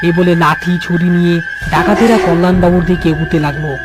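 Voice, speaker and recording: A male narrator with a somewhat high voice tells a scripted horror story. Music plays clearly behind his storytelling. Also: flat delivery, fairly quick.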